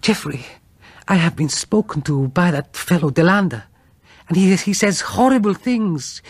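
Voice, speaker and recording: A man voicing a fragile young wife in a gothic horror story, with a breathy, half-whispered, trembling and melodramatic delivery. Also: high voice, no room sound, pitched above the performer's own voice. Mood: distraught, pleading. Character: terrified, fragile young wife turned eerily dreamy.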